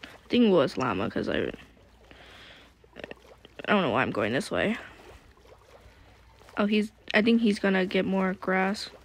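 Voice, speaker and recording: Chatty young gamer with a gravelly voice. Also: mid-range voice, lively intonation, measured pace, pauses often, a little hiss or hum. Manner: chatty, rambling with restarts. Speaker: streamer. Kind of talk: casual gaming chat.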